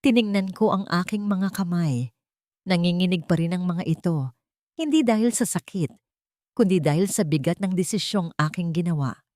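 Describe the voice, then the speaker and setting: A woman reads a dramatic first-person story. Her voice sits fairly low, and the recording is dry and close, with very little room sound. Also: normal speed, darkish tone, dry recording. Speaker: story narrator.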